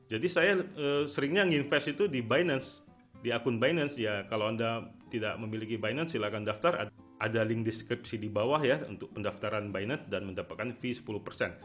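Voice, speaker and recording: Male video host, mid-pitched, conversational commentator style, guitar music underneath. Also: brisk, fairly bright tone. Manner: conversational, repeatedly tags phrases with "ya". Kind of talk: casual market commentary.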